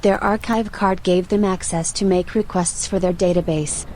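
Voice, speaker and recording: A woman's voice: lowish voice, steady tone, darkish tone, close to an everyday voice. Woman acting a composed, formal female AI, noisy backdrop. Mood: matter-of-fact. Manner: formal, measured, matter-of-fact. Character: composed, inquisitive female archive AI with dry attitude. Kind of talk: audio roleplay acting.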